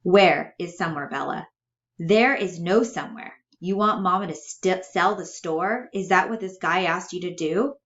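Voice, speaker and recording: A woman's voice: fairly low pitch, normal speed, muffled recording, lightly acted. Woman acting a blunt, domineering, suspicious brother. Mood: pressing, angry. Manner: blunt, sarcastic, hammering questions. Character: suspicious, domineering, sarcastic adult brother interrogating his sister. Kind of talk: dramatic play reading.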